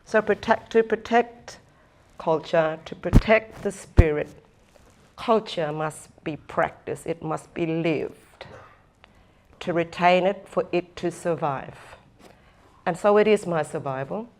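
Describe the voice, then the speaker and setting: A woman giving a slow, earnest, deliberate talk at a conference session, stressing her points by repeating them. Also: on the low side, a little mellow. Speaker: First Nations speaker and former government advisor.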